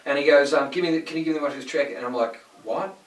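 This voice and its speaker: A man's voice: fairly high pitch, rapid-fire. A man hosting a podcast, chatting casually and telling stories with lots of swearing, acting out the people in them. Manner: swearing storyteller, acts out people in his stories. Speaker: podcast host. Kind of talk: casual chat. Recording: echoey room, mic at a distance.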